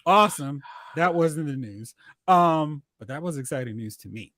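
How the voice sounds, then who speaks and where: A man hosting a casual chat about TV and film, telling stories in an animated, exclamatory way. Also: fairly high pitch, normal speed, no echo. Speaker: podcast host.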